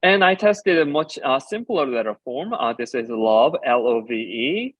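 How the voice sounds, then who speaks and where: A man whose first language is Korean talks through his work on stage, pausing often with fillers as he explains. His voice sits at an ordinary pitch for a man. The recording is dry and a little dull, with a lo-fi edge. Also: animated, dry recording. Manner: halting, with frequent filler pauses while explaining. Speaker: designer and ceramicist giving a talk. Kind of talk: conference presentation about slides.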